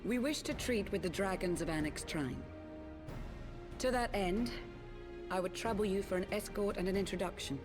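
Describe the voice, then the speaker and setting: A woman's voice: mid-range voice, normal speed, long pauses, close to an everyday voice. A woman playing a composed, dutiful knight and emissary in a scripted fantasy video game. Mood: formal request. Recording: game dialogue under clear background music.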